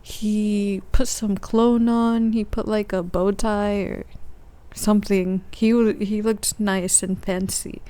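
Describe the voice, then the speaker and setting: A soft-spoken woman with a medium-pitched voice talks casually into her own podcast. She recounts her dreams in a gentle, unhurried monologue. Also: flat delivery. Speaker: podcast host.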